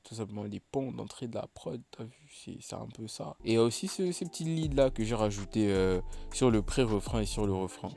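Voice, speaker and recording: Laid-back man, mellow voice, slightly congested. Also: lowish voice, brisk, vocal fry, few pauses, soft background music. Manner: laid-back, chatty, many hesitations. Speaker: beatmaker walking through his own production. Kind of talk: casual tutorial narration.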